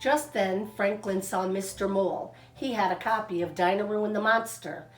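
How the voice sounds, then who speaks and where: A woman narrating a children's storybook like a teacher, expressive and deliberate, stretching out words. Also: mid-range voice. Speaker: kindergarten teacher reading stories to children. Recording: echoey room, faint music behind.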